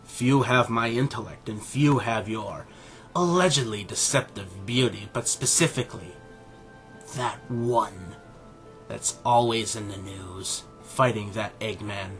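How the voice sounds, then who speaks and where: A man playing an arrogant, condescending middle-aged animal scientist and father in a scripted audio drama, speaking in a pompous, deliberate way. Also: on the low side, a bit bright, soft background music.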